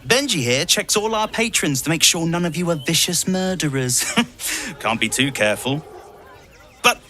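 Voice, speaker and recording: High, wildly swooping circus showman. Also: sing-song, normal speed, bright, forceful tone. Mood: gushing welcome. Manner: theatrical, ingratiating. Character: flamboyant, gushing circus greeter and showman. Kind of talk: video game character acting.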